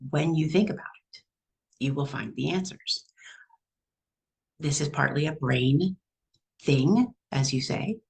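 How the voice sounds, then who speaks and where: A Texan-accented woman channeling a calm, guiding female spirit in a slow, soothing way. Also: low-pitched, creaky, full character voice, rough recording.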